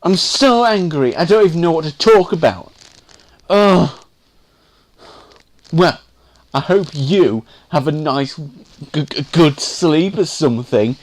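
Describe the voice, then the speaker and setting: A man acts out a put-upon male correspondent in a web comedy sketch, fed up and whiny. He grumbles at a slow, dragging pace, full of exasperated complaint. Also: on the high side, lively intonation. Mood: grumpy, exasperated. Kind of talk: comedy sketch piece to camera.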